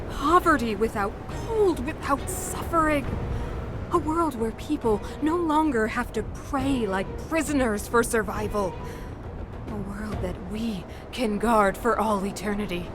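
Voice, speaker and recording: Fanatical villain queen in a game, slow high voice, theatrical and imposing, music behind. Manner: grandiose, deliberate, theatrical speech-making. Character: imposing female ruler, fanatical, cold, seductive villain. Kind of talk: dramatic video game voice acting.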